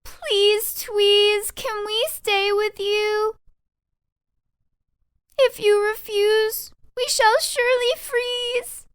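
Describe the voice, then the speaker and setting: A woman voicing an excitable little meadow mouse for a children's storybook, squeaky, childlike and pleading. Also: extremely slow, no room sound, studio quality, full character voice. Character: playful, then frightened, pleading little meadow mice.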